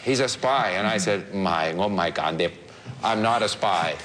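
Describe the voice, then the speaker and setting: A man's voice: fairly low pitch, a little slow, a bit bright, a little hiss or hum. A man answering interview questions in a chatty way, telling anecdotes about his language learning. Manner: anecdotal, chatty, laughs while telling stories.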